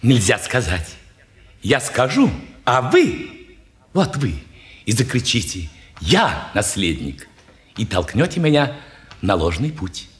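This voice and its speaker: An actor playing an odd, unsettling man of forty with halting, cryptic, deliberate speech, in a 1950s Russian stage drama. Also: medium pitch, wildly swooping pitch. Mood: cryptic, wary. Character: odd, unsettling stranger of forty, humbly dressed.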